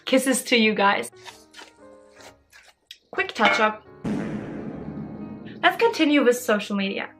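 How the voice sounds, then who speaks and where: A woman's voice: fairly high pitch, lively intonation, takes it slowly, long pauses, music in the background. A woman, chatting casually and expressively in a bubbly way as she answers questions for a vlog. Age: early 20s. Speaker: social media creator answering follower questions.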